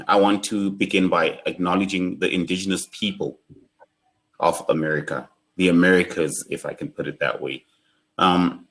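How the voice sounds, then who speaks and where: A young South African man with a South African accent, leading an online workshop in a measured, solemn way. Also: low-pitched, a bit bright. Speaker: workshop facilitator.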